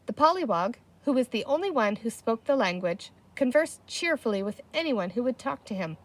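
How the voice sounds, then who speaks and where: Animated woman reading a children's story. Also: a little high, moderate pace. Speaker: audiobook reader. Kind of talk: expressive children's story narration.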